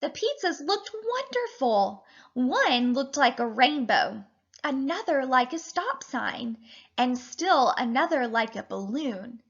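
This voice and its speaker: A woman in her thirties, a teacher reading and talking to children in an animated, deliberate way. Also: sits high, slightly rough, muffled recording. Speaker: teacher reading a picture book aloud to children. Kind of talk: expressive children's read-aloud and lesson.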